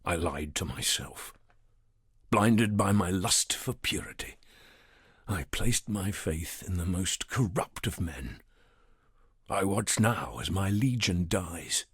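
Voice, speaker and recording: Hushed, broken old-world emperor. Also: male voice, sits low, no echo, clean studio sound, full character voice. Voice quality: breathy, whispery. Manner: hushed, mournful, halting. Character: broken, remorseful fallen emperor of a dying legion. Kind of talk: scripted video game monologue.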